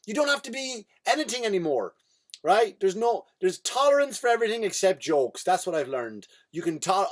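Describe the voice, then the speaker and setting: An Irish comedian with a fairly high-pitched voice, rambling through a comic rant. His pitch and volume leap up and drop away as he riffs, giving a lively, restless delivery. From Ireland. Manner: animated and rambling, with big swings in pitch and volume. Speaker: comedian and podcast host.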